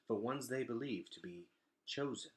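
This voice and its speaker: A man voicing a solemn, wise elder of an underground people in a storybook, speaking in a hushed, formal, measured way. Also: medium pitch, expressive, natural voice.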